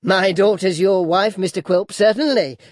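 An indignant old storybook mother-in-law, put on by a man, high in pitch and huffy. She pushes her challenges quarrelsomely, with some fear underneath. The recording is dry. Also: fairly quick, no echo.

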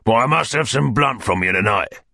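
A man's voice: mid-range voice, lively intonation, a little fast, gravelly, bright, forceful tone, no echo, studio quality, pitched above the performer's own voice, full character voice. A man voicing a brutish, bitter London criminal in an audiobook, growling and surly with a Cockney accent. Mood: surly demanding. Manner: surly, demanding. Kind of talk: audiobook character acting.

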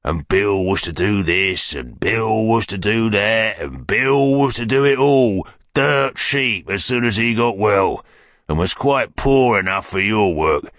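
A bullying Cockney crook for an audiobook. The voice growls with gravelly force, bitter and demanding, swinging widely in pitch. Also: male voice, expressive, a little slow, fairly bright tone, no echo, clean studio sound. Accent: London Cockney. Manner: surly, demanding. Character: brutish, bitter, bullying male London criminal. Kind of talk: audiobook character acting.

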